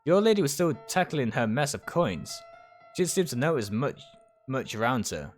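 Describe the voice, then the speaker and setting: A man's voice: medium pitch, big pitch swings, moderate pace, soft background music. A man reading visual novel text aloud in a dramatic storytelling style, sometimes stumbling and rereading words. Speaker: streamer reading a game aloud.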